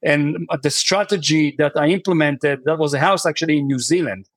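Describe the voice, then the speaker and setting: A man's voice: on the high side, normal speed, fairly bright tone, no room sound. A man from Israel, a guest on a podcast, answering questions in a conversational way, with many fillers and restarts. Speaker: podcast guest, real estate investor.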